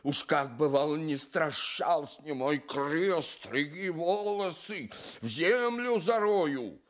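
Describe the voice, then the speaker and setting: A man's voice: fairly high pitch, lively intonation, slow. An actor playing a pious, sorrowful old village stove-builder in a radio play, telling his story earnestly with folksy sighs. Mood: preachy, rueful. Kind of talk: Soviet radio play acting.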